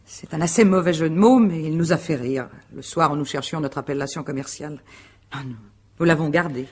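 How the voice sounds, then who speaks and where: A composed, proud wife and business director in a radio mystery. Her voice is husky, and she answers questioning in articulate, formal phrases with self-assurance and dry irony. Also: sits low, brisk, darkish tone. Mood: matter-of-fact. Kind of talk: French radio mystery drama acting.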